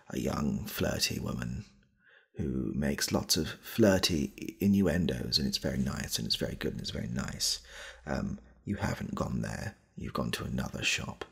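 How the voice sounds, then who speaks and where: Man playing an awkward, very deep, husky shop worker. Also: full character voice. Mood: nervous, insistent. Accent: British. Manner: hesitant, stammering, repetitive, soft-spoken. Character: awkward, needy male shop worker clumsily propositioning a customer. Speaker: ASMR comedy creator playing a shop worker. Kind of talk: comedy ASMR roleplay.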